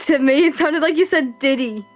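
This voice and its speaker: A woman's voice: high voice, fairly quick, soft background music. A woman from Britain chatting casually and playfully on a gaming stream. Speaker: guest player.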